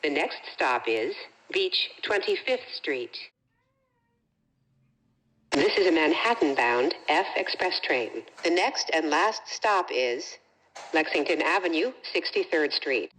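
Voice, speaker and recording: A woman with an American accent reads scripted subway announcements, formal and clearly enunciated. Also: deep voice, animated, slow pace, fairly bright tone, rough recording.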